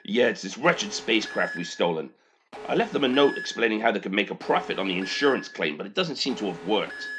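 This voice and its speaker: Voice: sits low, animated, brisk. An actor playing a brash, slangy male space rogue in an amateur audio drama, on a noisy track with clicks. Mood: urgent.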